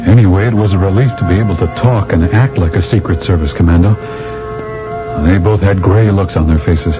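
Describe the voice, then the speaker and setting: A man's voice: sits low, fairly quick, over music, close to an everyday voice. An actor playing a weary, wry astronaut commander, telling his story dramatically in a radio drama. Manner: dramatic, hesitant first-person storytelling. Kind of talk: radio drama narration and acting. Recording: dull old radio broadcast.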